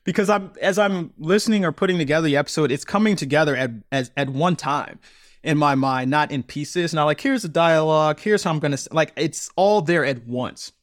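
A man talking casually as a podcast guest, animated and laughing often, repeating words as he thinks aloud. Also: a little high, brisk, dry recording, clean studio sound. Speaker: podcast guest, audio drama maker. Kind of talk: casual interview chat.

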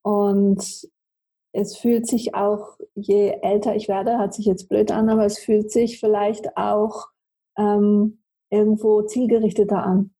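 A woman from Switzerland, a native Swiss German speaker, speaking conversationally as an interview guest with a husky voice. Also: mid-range voice, little pitch movement, a little slow, dark tone, rough recording. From Switzerland, grew up in Zurich. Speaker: podcast guest. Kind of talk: conversational life-story interview.

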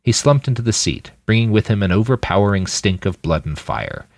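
A man's voice: fairly low pitch, animated, fairly quick, a little mellow, no echo. A man reading a story aloud as scripted audiobook narration. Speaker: author reading his fiction podcast.

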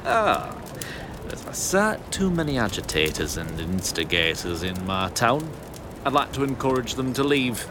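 An actor playing a genial but scheming small-town mayor in an audio drama, folksy, pompous and placating. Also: male voice, mid-range voice, big pitch swings, unhurried, noisy background. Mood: scheming, jovial. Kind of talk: audio fiction drama acting.

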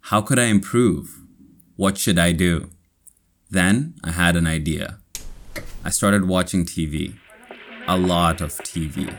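Deep-voiced man telling his story. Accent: American. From Kenya. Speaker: storyteller in a language lesson. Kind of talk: scripted first-person narration.

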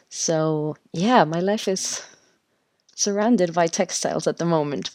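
Low-voiced woman. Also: no echo. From Mexico. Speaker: podcast guest, natural textile dyer. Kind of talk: casual interview talk.

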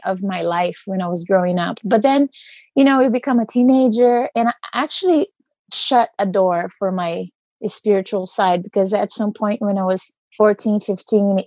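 Mellow-voiced Brazilian woman, earnest. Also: mid-range voice, dry recording. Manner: earnest, searching for words. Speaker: guest. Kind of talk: reflective personal interview.

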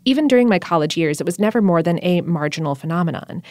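Low-pitched woman, eager podcast co-host. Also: expressive, a little mellow, no room sound, clean studio sound. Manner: enthusiastic, laughs often, a little halting. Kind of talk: casual chat.

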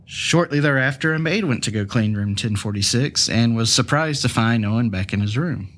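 A man's voice: mid-range voice, lively intonation, normal speed, fairly bright tone, slight background noise. A man hosting a true crime podcast, sounding stuffy from a cold, telling the case with casual, jokey asides. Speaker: podcast host.

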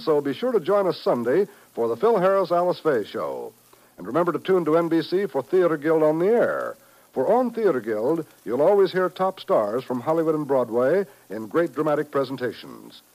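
A man's voice: fairly high pitch, a little mellow, poor audio. A man with an American accent announcing programme promos in polished 1950s radio style on an old broadcast recording.

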